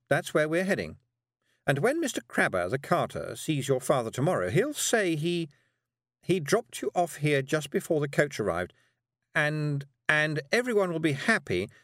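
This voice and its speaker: A man's voice: fairly high pitch, huge pitch swings, moderate pace, fairly bright tone, dry recording, studio quality, voice pushed up. A prim, flustered witch, as a male reader puts her on. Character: fussy nervous brisk woman witch. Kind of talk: audiobook character voice.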